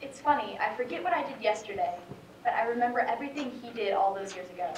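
A woman's voice: fairly high pitch, expressive, fast, lightly acted. A teenage woman playing a wounded, wry daughter from an abusive home in a reflective stage monologue. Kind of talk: high school stage monologue. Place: indoor theatre stage. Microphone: far camera. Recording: echoey noisy theatre, distant microphone.

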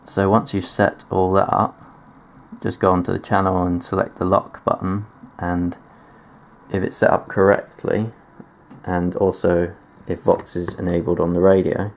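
A man's voice: low-pitched, little pitch movement, unhurried, creaky, a little hiss or hum. A man giving a casual tech demonstration in a matter-of-fact, explanatory way, presenting gear on video indoors. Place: indoors at a desk.

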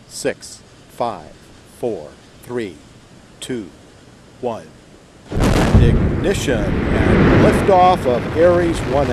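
Slow-paced man announcing a launch. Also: mid-range voice. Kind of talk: countdown and liftoff commentary. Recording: loud launch noise behind.

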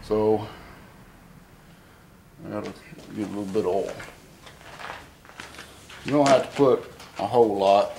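A lowish-voiced man with a drawl explains his work in a plain, unhurried way, thinking aloud as he goes. He is recorded on a camera mic in a garage workshop with a faint hiss behind him. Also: vocal fry. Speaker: home mechanic demonstrating an engine rebuild. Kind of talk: casual hands-on how-to. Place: barn or garage workshop, indoors.

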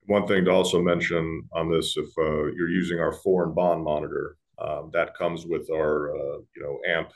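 A man's voice: extremely low pitch, little pitch movement, normal speed, hardly any pauses. A man explaining a product informally as a company presenter in a webinar.

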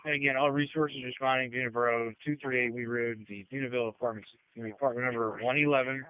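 A man's voice: mid-range voice, fairly even intonation, fast talker, a little hiss or hum. A man working as a fire dispatcher, giving clipped, procedural readbacks over two-way radio. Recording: tinny narrowband radio transmission.

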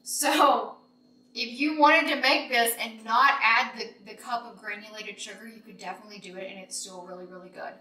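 Woman in her thirties, fairly high voice, chatty recipe host, roomy kitchen sound. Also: fairly even intonation, moderate pace, pushed and bright. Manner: chatty, informal, addressing viewers directly. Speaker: home baking vlog host. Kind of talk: casual recipe demonstration. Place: home kitchen, indoors. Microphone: camera a few feet away. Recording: echoey kitchen, background noise, dish clinks.

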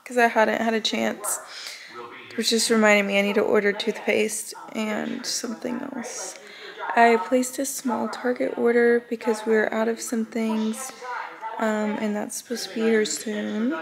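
A woman in her twenties with an American accent, chatting casually to camera at home with a creaky voice. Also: on the high side. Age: 20s. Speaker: vlogger. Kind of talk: casual vlog chat to camera. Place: indoors at home, living room and kitchen.

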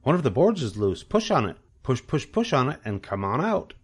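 A man voicing a bossy, excitable female goose who chatters and rapidly repeats phrases. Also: medium pitch, husky, pitched above the performer's own voice. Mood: chatty, urging.

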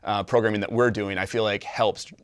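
A man's voice: on the low side, steady tone, brisk, no room sound. A man in his forties explaining things earnestly and methodically as a guest in a studio interview. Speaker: business co-owner, interview guest. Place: small TV studio.

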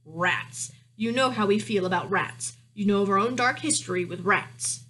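A woman's voice: mid-range voice, moderate pace, fairly bright tone, close to an everyday voice, poor audio. Storybook monarch, male woman reader performing: stern father, pompous, commanding, emphatic delivery. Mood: pompous lecturing. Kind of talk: children's storybook reading.

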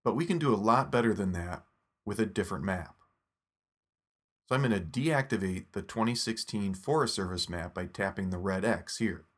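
A man narrating a scripted phone app tutorial in a steady, instructive step-by-step voice. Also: fairly low pitch, moderate pace.

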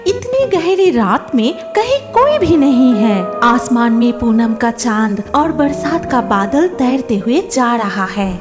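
A woman narrating a scripted children's cartoon story, with music behind her. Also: a little high.

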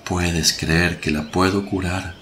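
An actor playing a compassionate, authoritative holy teacher in a radio play, solemn and measured. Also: male voice, low-pitched, fairly even intonation, fairly bright tone, slight background noise. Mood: solemn, gentle. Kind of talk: Spanish-language radio play acting.

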